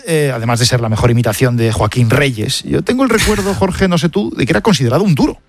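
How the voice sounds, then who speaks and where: Lively Spanish man, higher-pitched radio voice. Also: dry recording. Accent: Spanish, from Spain. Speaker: press review journalist on radio show. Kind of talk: scripted press review with conversational asides.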